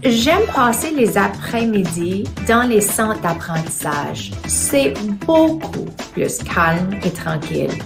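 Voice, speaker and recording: A playful, self-mocking woman narrates her day as a teacher, her French carrying an English-speaking accent. Her pitch swoops widely while she speaks slowly. Music plays clearly behind her. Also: sing-song. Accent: English-speaking learner of French. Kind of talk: scripted video narration.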